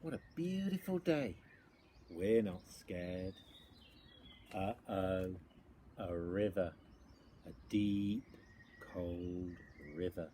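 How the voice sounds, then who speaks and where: A man performs a children's storybook as a theatrical storyteller. His pitch swings widely from line to line and he takes his time over each phrase. Faint ambient noise sits behind the voice. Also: big pitch swings, dark tone. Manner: theatrical, exaggerated, deliberate delivery with repeated phrases. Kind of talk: dramatic children's picture-book reading.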